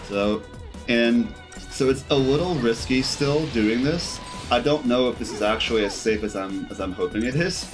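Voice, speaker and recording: A man's voice: medium pitch, normal speed. A man giving casual live gameplay commentary as a streamer, rambling and thinking aloud as he goes. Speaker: video game streamer. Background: game music.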